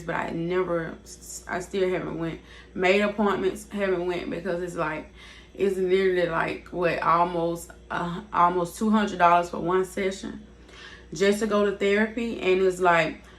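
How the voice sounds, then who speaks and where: A woman's voice: fairly low pitch, a bit bright. Casual, rambling young woman vlogger. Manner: casual, rambling, repeats herself, leans on "basically" and "like". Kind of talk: candid personal vlog talk. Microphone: device's built-in mic, not her usual microphone.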